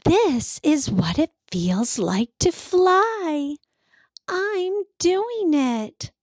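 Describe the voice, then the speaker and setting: A woman voicing a small, hopeful little sleigh, high-pitched. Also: big pitch swings, very slow, dry recording, studio quality, full character voice. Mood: proud joy. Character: small hopeful young female red sleigh, eager and wistful. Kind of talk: storybook character voice for children.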